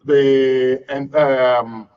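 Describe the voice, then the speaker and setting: A man's voice: mid-range voice, level tone, drawn-out words, clear, resonant tone. A man with a Nigerian accent hosting and moderating a live political talk show. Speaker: host. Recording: muffled, distant-mic broadcast audio.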